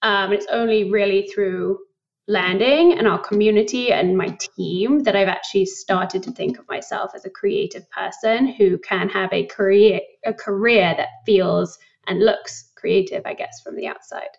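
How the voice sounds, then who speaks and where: A young woman from England, a company founder talking in a casual interview, thoughtful and quick to laugh. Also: mid-range voice, creaky, dull audio. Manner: reflective, laughs often, many likes. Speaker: guest, startup founder.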